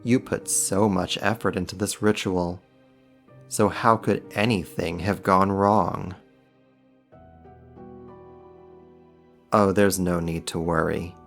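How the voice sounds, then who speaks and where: Casting: man as powerful demon for audio roleplay, low-pitched, slow delivery, taunting mock courtesy. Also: fairly even intonation, vocal fry, fairly bright tone, soft background music, heavily acted. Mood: mock servile, teasing. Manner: sarcastic, teasing, drawn-out mock politeness. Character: powerful male demon, sly, mocking, condescending. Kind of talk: ASMR roleplay, slow scripted monologue to the listener.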